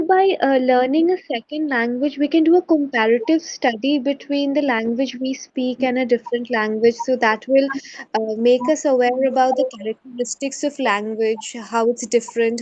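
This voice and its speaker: High-voiced student woman, dry sound. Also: steady tone, moderate pace, a little mellow, no echo, poor audio.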